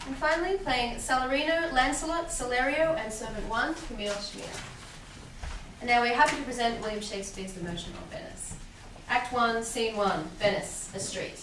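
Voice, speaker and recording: A woman's voice: a little high, normal speed. A woman narrating a staged reading, formally announcing the cast and stage directions from a script. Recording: echoey room, distant mic, clear noise.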